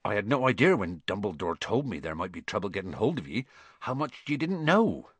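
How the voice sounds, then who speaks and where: A man voicing a gruff, kindhearted, hot-tempered giant with a folksy dialect and halting speech in an audiobook story. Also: fairly low pitch, sing-song, no room sound. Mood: worried.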